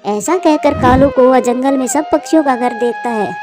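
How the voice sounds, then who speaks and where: A woman narrates a scripted children's story in a high voice. Music plays clearly behind her narration. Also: normal speed.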